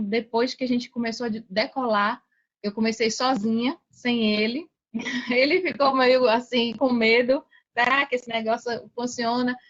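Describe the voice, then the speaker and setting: Woman, forties, Brazilian accent, higher-pitched, chatty podcast guest, conversational storytelling, muffled lo-fi recording. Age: 40s. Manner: chatty, frequent tag questions seeking agreement. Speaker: podcast guest, affiliate marketer. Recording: dull lo-fi sound.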